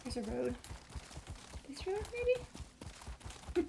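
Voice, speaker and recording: A woman's voice: sits high, animated, extremely slow, vocal fry. A woman giving casual, amused gameplay commentary, chatting to the characters as she plays. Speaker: gamer playing and commenting. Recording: commentary over noisy game ambience.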